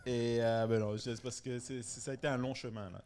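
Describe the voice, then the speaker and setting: A man's voice: mid-range voice, fairly even intonation, moderate pace, darkish tone. A man in his thirties, originally from Senegal, talking as a comedian guest on a live podcast. He jokes, laughs often and restarts his sentences. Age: 30s. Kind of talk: casual live podcast chat. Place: live venue before a stand-up show.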